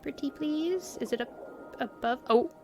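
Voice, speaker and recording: A woman's voice: high voice, a little slow, warm tone. A woman giving casual livestream commentary on a video game, thinking aloud in a playful, exclaiming manner. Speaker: game streamer. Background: game music.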